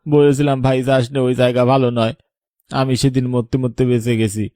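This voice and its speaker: A man's voice: mid-range voice, level tone, moderate pace, warm tone, no echo, studio quality, natural voice. A man playing a grieving, regretful elder brother in a village cartoon, lamenting and justifying himself melodramatically. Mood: anguished regret.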